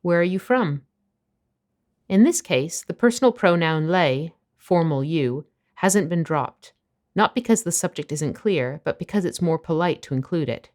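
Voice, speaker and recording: A woman narrating a scripted language lesson, explaining phrases clearly and at a measured pace. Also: on the low side, lively intonation, a little mellow. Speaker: language teacher.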